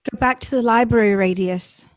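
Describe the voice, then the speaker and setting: A woman's voice: a little high, brisk, dry recording, natural voice. A woman playing an earnest, compassionate and troubled wife in a radio drama, speaking formally and questioningly.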